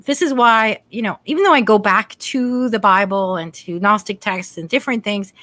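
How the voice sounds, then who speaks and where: A woman's voice: medium pitch, normal speed, no room sound. A middle-aged woman hosting a casual spiritual chat over a video call from her home, talking chattily and reading scripture aloud. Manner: chatty, warm affirmations, reads scripture aloud. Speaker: podcast host. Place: home room, indoors. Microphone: desk mic on video call.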